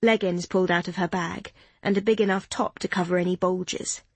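Husky-voiced woman reading an audiobook. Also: on the low side, no room sound. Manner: even, descriptive, unhurried. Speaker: audiobook narrator. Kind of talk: scripted audiobook narration.

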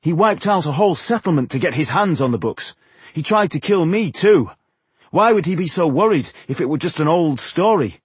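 A man voicing an earnest, eager young dwarf hero in a fantasy audiobook, husky and impassioned, speaking with urgent emphasis. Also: fairly high pitch, lively intonation, normal speed, few pauses, no echo, pitched above the performer's own voice. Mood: impassioned pleading.

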